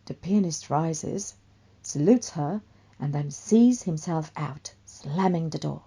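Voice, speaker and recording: Slow, measured woman narrator. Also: low-pitched, dark tone, slightly rough. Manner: measured, storytelling. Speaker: writer and narrator of a radio play. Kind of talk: scripted dramatic narration.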